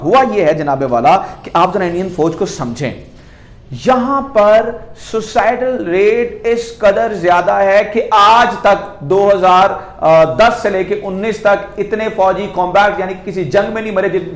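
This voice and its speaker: A man with a Pakistani accent speaks in a fairly high voice, recorded in a clearly echoey room. He delivers political commentary as a video host, talking conversationally to the viewer. His explanations are emphatic, built on rhetorical questions that he answers himself. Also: unhurried. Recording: echoey, reverberant room sound.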